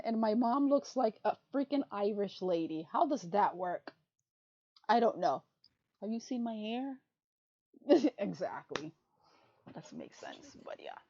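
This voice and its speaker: A woman's voice: a little high, dry recording. Woman, chatty podcast co-host, rambling, laughs a lot, dry muffled mic. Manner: chatty, rambling, laughs often, says "freaking". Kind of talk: casual livestream chat. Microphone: desk mic on a livestream. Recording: muffled lo-fi stream audio.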